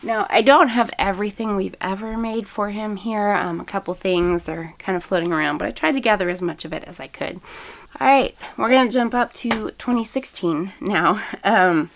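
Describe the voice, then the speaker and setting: A woman's voice: medium pitch, normal speed, gravelly. A woman in her thirties, a mother of eight with a slight cold that makes her a little froggy, chatting casually through gift ideas with many ums. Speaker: family vlogger, mother of eight. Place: home, indoors.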